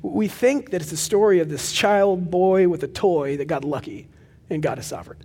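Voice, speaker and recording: A young man preaching a sermon as pastor of a church, with a loud, projecting voice and animated storytelling asides. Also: high-pitched, moderate pace, husky, long reverb tail. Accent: Texan. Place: large church hall with live audience.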